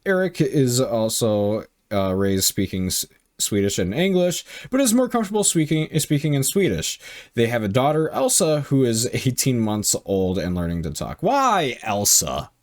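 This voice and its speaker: A man's voice: mid-range voice, big pitch swings, normal speed. A young man reading online stories aloud and reacting bluntly, with frequent swearing. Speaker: reaction video host.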